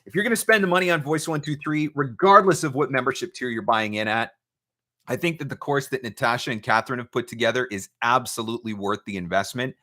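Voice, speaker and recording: A man hosting a live question-and-answer advice session, speaking as a coach in an emphatic, deliberate way and repeating his key points. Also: medium pitch, sharp tone. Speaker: host, voice-over marketing coach. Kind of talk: live Q&A advice talk, reading out viewer questions.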